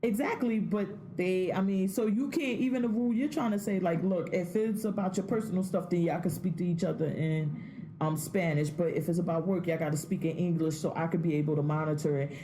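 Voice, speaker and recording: A woman's voice: lowish voice, steady tone, a little fast, mellow, slight background noise. A woman co-hosting a podcast, chatting casually in a blunt, profane way and laughing often. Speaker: podcast co-host. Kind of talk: casual opinionated chat.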